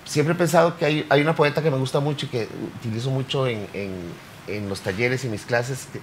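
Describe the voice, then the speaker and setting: A man's voice: mid-range voice, steady tone, a little fast, slight background noise, low-quality recording. A man who teaches poetry workshops, talking hesitantly on a literature panel. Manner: hesitant, many fillers and restarts.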